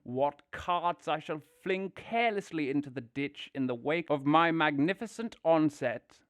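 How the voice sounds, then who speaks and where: A man's voice: a little high, lively intonation, a little slow, dry recording, clean studio sound. A man playing a vain, dreamy toad with a theatrical, gushing manner in an audio drama. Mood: dreamy rapture. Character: vain, rapturous male toad, dreamy and car-obsessed.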